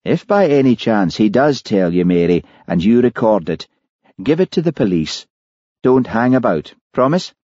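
Man reading as an earnest worried policeman, lively delivery, dull lo-fi. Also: mid-range voice, normal speed, no room sound, voice pushed up, lightly acted. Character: Hamish, a worried Highland policeman. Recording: dull lo-fi, dry.

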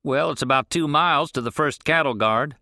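A gruff, blunt older rancher with a drawl, pitched well above the reader's own low voice, as a man puts him on. He talks loud and exasperated, in a dry, close storybook reading. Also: fairly quick, no room sound.

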